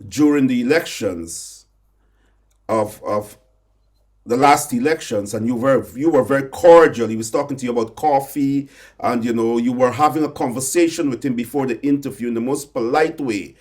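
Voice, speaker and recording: A man's voice: medium pitch, normal speed, darkish tone. A Guyanese man interviewing a guest, talking in long rambling turns with many repeated words and restarts. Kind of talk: conversational interview.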